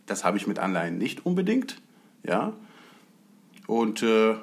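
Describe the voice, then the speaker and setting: A man who grew up in the United States, talking through stocks in a measured, explanatory way in a casual conversation. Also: on the low side, huge pitch swings.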